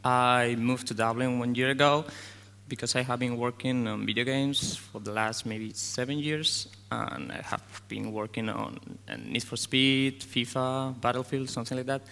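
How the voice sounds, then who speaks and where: A man presents a game demo in careful, phrasing. His voice is bright and forceful, with long hall reverb around it. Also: medium pitch, fairly even intonation, gravelly. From Spain. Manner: non-native phrasing, halting. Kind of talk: conference tech presentation.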